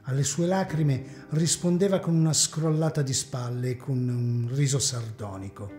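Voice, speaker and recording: Male narrator for a radio serial, mellow tone, echoey hall. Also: medium pitch, normal speed, faint music behind. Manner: expressive, theatrical reading. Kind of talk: dramatic serial novel narration.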